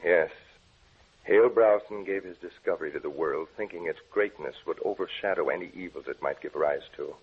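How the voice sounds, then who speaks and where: An actor playing a brooding, obsessed scientist with a grave, melodramatic delivery in an old-time radio drama. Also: male voice, sits low, brisk, husky. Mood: grim resolve. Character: brooding, obsessed male scientist plotting to kill his beloved. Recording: muffled old radio recording.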